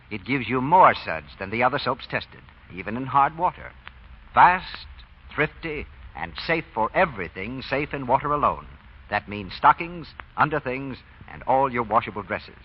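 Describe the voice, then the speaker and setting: A man's voice: medium pitch, breathy edge. A man with an American accent announcing and reading scripted advertising in 1940s radio style. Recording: dull vintage radio recording.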